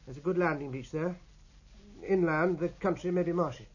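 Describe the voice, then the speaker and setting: An actor playing a keen, respectful young British naval lieutenant in a 1950s radio drama, speaking in a measured, persuasive way. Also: male voice, a little high, slight background noise, natural voice. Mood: quietly persuasive. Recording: muffled vintage radio recording.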